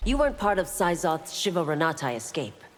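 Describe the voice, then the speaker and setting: Low-voiced, stern law enforcer. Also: female voice, big pitch swings, brisk. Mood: composed. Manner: composed, formal, firm. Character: stern, dutiful female law enforcer warrior. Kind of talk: video game character dialogue. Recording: reverberant, very noisy game audio.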